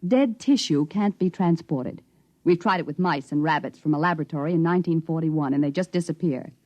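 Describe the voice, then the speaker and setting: An actress playing an ambitious, coolly persuasive scientist, formal and insistent, in a 1950s radio drama. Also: female voice, low-pitched, a little fast, darkish tone, no echo, lo-fi sound, lightly acted. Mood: insistent, controlled.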